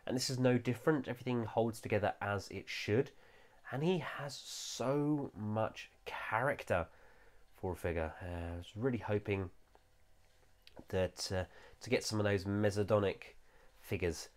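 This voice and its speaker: A man with a low, deep voice that sounds nasal and stuffed up from a lingering cold. He reviews a collectible figure in a chatty, enthusiastic way, often pausing with "uh". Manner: enthusiastic, chatty, with frequent "uh" fillers. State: recovering from a cold. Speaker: toy reviewer. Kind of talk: casual product review.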